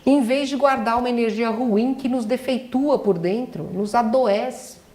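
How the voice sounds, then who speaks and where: A woman's voice: on the high side, a little slow, a little mellow. A middle-aged woman giving a spiritual lecture as a doctor, talking emphatically and asking the audience rhetorical questions. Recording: echoey hall.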